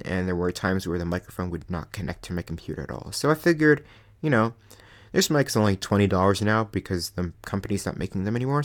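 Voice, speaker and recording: A young man hosting his own channel, casually rambling through a microphone review with lots of fillers and self-deprecating asides. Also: fairly low pitch. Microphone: desk USB microphone.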